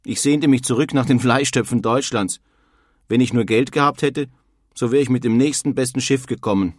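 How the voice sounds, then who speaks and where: A man's voice: medium pitch, normal speed, no echo, natural voice. Disgruntled emigrant craftsman played by a man, blustering and homesick, audio drama letter reading, mid-range voice, very dry recording. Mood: grumbling, homesick.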